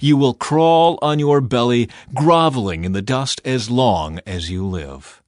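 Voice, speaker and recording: A man voicing a solemn, authoritative male God in a scripture audiobook, speaking sternly and deliberately. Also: medium pitch, dry recording, clean studio sound, natural voice. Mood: stern, condemning.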